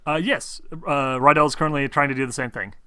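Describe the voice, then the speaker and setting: Man, game master, bright voice, mid pitch, casual tabletop narration. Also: quick pace, smooth, no echo, studio quality.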